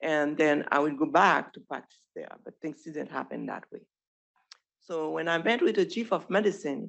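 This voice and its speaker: Haitian accent, middle-aged, physician, informal presentation, halting restarts, creaky voice. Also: medium pitch. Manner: halting, with frequent restarts and self-corrections. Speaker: physician presenting a residency program. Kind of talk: informal presentation at an online showcase.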